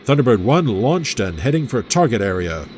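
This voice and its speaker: A man voicing a calm, dutiful young male rescue pilot who reports in a businesslike way. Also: mid-range voice, normal speed, slight background noise, lightly acted. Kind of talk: audio adventure story reading.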